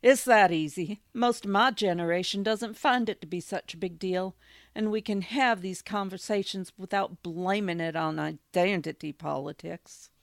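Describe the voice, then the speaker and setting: A woman's voice: medium pitch, moderate pace, no echo, studio quality, close to an everyday voice. A woman playing an easygoing, matter-of-fact young character in a radio drama. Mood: reassuring.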